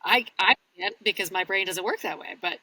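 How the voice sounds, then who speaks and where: A woman's voice: mid-range voice, brisk, pushed and bright, no room sound, rough recording. A woman who works as an audiobook narrator, chatting casually as an interview guest in a candid, easygoing, self-deprecating way. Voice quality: husky.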